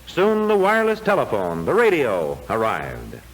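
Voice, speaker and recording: A man reading formal, measured, declamatory narration for a 1940s educational film, on an old soundtrack. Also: medium pitch, huge pitch swings, slight background noise, low-quality recording.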